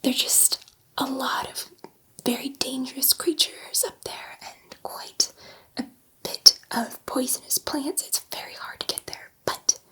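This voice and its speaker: Gentle, chatty female alien scientist, acted by a woman for sci-fi ASMR; high, breathy whisper, hesitant delivery. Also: steady tone, unhurried, exaggerated voice, rough recording. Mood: wistful, admiring. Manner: hesitant, rambling, confiding.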